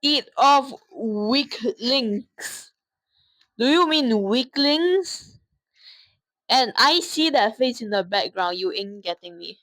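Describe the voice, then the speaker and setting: A woman's voice: a little high, animated, slow pace, fairly bright tone, dry recording. A young woman from Singapore giving casual reaction commentary, informally talking back to the video she is watching.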